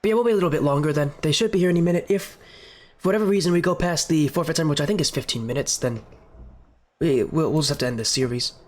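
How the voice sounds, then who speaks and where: Fast-talking sick man, sniffling. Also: fairly high pitch. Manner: chatty filler talk while waiting. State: sick with a stuffy nose, sniffling. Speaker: esports league caster. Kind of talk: solo stream commentary.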